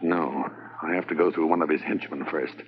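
A man's voice: extremely low pitch, steady tone, a little fast. A man playing a confident, businesslike undercover police corporal in a 1950s radio drama, on a muffled, hissy transcription. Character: undercover Mountie corporal posing as a New York buyer.

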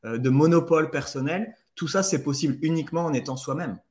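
A man speaking as a guest on a live talk, relaxed and casual, often repeating words as he goes. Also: a little high, fast. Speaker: guest storyteller.

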